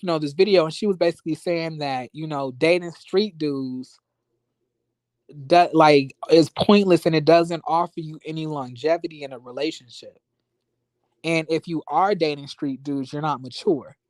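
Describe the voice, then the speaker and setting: Sassy higher-voiced American man. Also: no echo. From United States, born in rural Florida and raised in Las Vegas. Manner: sassy, slangy, expressive, conversational. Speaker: YouTube reviewer and livestream host. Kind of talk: casual livestream chat with viewers.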